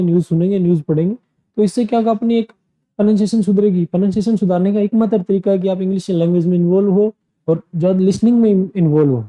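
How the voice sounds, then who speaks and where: A man's voice: high voice, mellow, low-quality recording. A man giving a casual spoken coaching lesson, chatty and repeating his points with loose restarts. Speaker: PTE and IELTS coaching teacher. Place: indoor teaching room.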